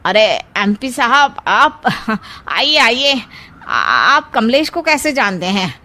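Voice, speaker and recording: Woman playing a bright, slow-talking oily village gangster. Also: on the high side, sing-song, full character voice. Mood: nervous, ingratiating. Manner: mock-friendly, boastful. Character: swaggering, oily male village gangster who turns servile.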